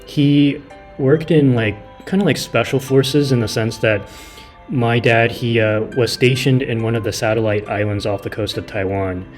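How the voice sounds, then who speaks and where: A man's voice: medium pitch, normal speed, darkish tone, soft background music. A man streaming a game and chatting casually with his viewers, rambling with many restarts. He is from California, and his first language is Mandarin. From California, near San Francisco. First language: Mandarin Chinese. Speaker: gaming streamer. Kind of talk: casual live-stream chat, reading chat.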